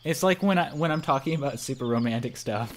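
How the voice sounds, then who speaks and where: Playful man narrating with a lively swing. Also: medium pitch, fast, slight background noise. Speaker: narrator and player. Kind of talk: casual comic visual novel read-aloud.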